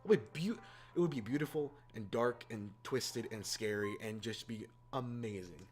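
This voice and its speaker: A man's voice: fairly low pitch, moderate pace. A man hosting a casual opinion rant, exasperated and informal, repeating words for emphasis. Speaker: YouTube host. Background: background music.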